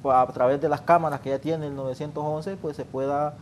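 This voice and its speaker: Male panel guest, earnest and repetitive, faint background rumble. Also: steady tone, a little fast. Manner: earnest, explanatory, repeats himself. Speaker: traffic official guest. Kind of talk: interview, arguing a point.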